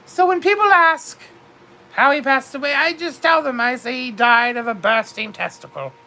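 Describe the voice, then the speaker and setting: A woman's voice: sits high, moderate pace, bright, forceful tone, poor audio. A woman telling a comic story in a theatrical, grandly confiding way, calling her listeners darlings.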